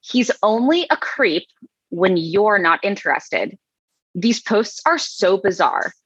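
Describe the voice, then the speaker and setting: A woman's voice: medium pitch, very animated, slow, fairly bright tone, slightly rough, no room sound, heavily acted. A woman voicing an indignant adult male online troll who lectures in a self-righteous way. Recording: dull lo-fi sound.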